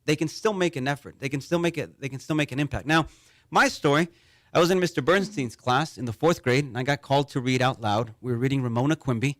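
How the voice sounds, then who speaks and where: A man from Mexico speaks in an earnest, steady way at a middle pitch. He repeats a word now and then as he gathers a thought. The recording is close and dry. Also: fast talker, no echo. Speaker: panel guest. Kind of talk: earnest interview talk.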